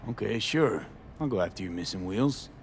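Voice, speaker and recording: An actor playing a blunt, streetwise mercenary for hire in a video game, speaking in terse, skeptical, casual phrases. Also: male voice, fairly low pitch, animated, moderate pace, slight background noise. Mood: dry, skeptical.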